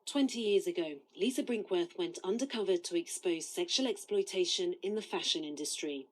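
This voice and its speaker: Slightly husky female news correspondent. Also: on the low side, fairly quick, rough recording. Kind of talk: scripted news report narration.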